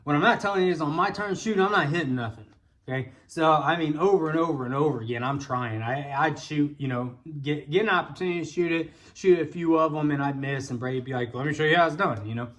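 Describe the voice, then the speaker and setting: A man's voice: fairly high pitch, fast talker, fairly bright tone. An American man with a folksy, colloquial way of talking, telling a casual personal story as a vlog host. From Indiana, United States. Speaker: vlog host, bowfishing guide.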